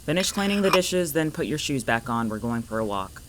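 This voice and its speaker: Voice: mid-range voice, very animated, brisk, a little hiss or hum, close to an everyday voice, rough recording. An actor in a fiction podcast playing a guarded, irritable homebody who is curt, sarcastic and exasperated.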